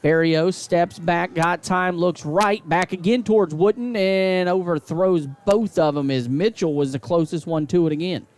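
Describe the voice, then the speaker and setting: A man calling high school football play-by-play on radio, building to excited calls on big plays. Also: normal speed, few pauses. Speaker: play-by-play announcer.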